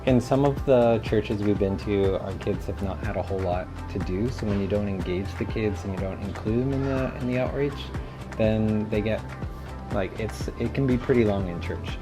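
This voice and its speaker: Deep-voiced man chatting casually. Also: music in the background. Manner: relaxed, halting explanations. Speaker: vlog co-host. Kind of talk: casual vlog chat.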